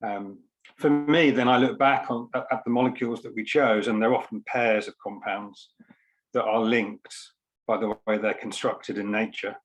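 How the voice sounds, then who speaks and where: A man's voice: fairly low pitch, steady tone, moderate pace, poor audio. A man giving an informal talk at an online launch, speaking in a hesitant, reflective way with careful hedging. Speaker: poet and scientist speaking at a book launch. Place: online event.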